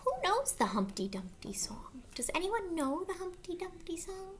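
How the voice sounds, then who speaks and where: A woman reading a picture book aloud to children, expressively, pausing to ask the young listeners questions. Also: extremely high pitch, sing-song, slight background noise.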